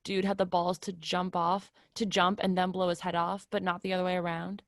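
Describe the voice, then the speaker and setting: An actress playing a tough, masculine-styled twenty-five-year-old in a play reading, blunt, clipped and questioning. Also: female voice, fairly low pitch, little pitch movement, brisk, lightly acted. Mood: skeptical, probing. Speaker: actor. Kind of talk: remote play reading, naturalistic casual dialogue.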